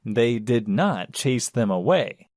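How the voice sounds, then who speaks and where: Slow, very expressive male storyteller. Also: medium pitch, no echo, clean studio sound. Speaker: storybook narrator. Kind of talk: scripted children's story narration.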